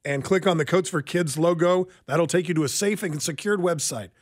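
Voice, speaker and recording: A man's voice: on the high side, fairly quick. A man hosting a radio show with casual, joking banter and a wry manner. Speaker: radio host. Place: radio studio.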